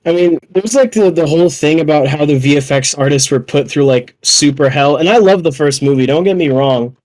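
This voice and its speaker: Young adult, mid-pitched voice, casual podcast chat, informal, sweary. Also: a little fast, darkish tone, low-quality recording. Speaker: podcast speaker.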